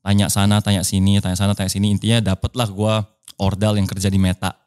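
Fast-talking young man with Chinese Medan accent. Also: lowish voice, flat delivery, a little mellow, clean tone. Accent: Chinese Medan Indonesian. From Medan, Indonesia. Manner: quick, playful, jokey storyteller. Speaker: guest musician and YouTuber. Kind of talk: casual podcast chat. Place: small podcast studio. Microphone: studio mic on a stand, with headphones.

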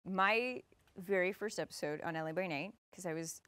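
A woman's voice: on the low side, moderate pace, fairly bright tone, clear, resonant tone, no echo. Woman host, close dry sound. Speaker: host, interviewer. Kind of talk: casual interview chat. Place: indoor studio set, seated.

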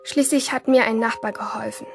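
A woman's voice: on the high side, fairly even intonation, brisk, vocal fry. An actress playing a troubled, yearning teenage schoolgirl in an introspective first-person radio drama monologue. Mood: anguished, stifled. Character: troubled, yearning teenage schoolgirl narrating her inner life. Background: music under the voice.

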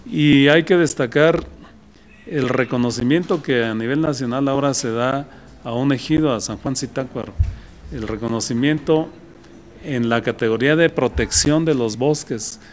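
Older mexican official, desk mics, measured, light hiss behind. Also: male voice, mid-range voice. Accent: Mexican Spanish. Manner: measured, repeats himself, brief restarts. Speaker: state forestry official. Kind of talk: press conference statement. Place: indoor press room. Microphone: desk microphones.

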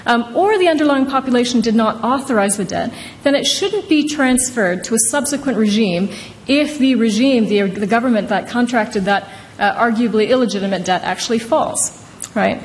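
Higher-pitched woman lecturing in an echoing hall. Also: animated, moderate pace. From Indonesia. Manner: conversational, frequently tags points with "right?". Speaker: law professor, author presenting her book. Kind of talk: informal academic talk at a podium. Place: large wood-panelled courtroom-style hall. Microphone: podium microphone. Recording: reverberant wood-panelled hall, some noise.

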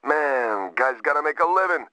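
Sharp, hard-nosed crook. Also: male voice, on the high side, expressive, normal speed, dry recording, rough recording. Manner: clipped, bargaining. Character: haggling, hard-nosed male criminal job broker. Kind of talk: video game cutscene acting.

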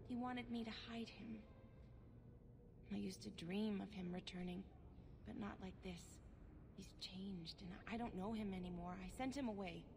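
An actress playing a troubled, gentle elven wife in a video game, speaking in a hesitant, halting way. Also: female voice, mid-range voice, background noise. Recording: game audio with clear background ambience.

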